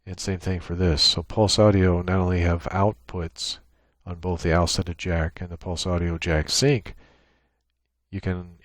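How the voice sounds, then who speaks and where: A man giving an unscripted software tutorial, explaining each step in a matter-of-fact, technical way. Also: sits low, no echo. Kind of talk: unscripted screen-share walkthrough. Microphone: USB microphone with gate and compressor.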